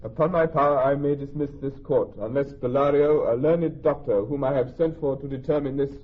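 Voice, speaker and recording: Man acting a grave, husky-voiced ruler. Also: medium pitch, fairly even intonation, lightly acted. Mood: formal, commanding. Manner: formal, measured, stately. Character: grave, authoritative male ruler presiding over court. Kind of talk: Shakespearean radio drama acting. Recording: thin, phone-like old radio recording.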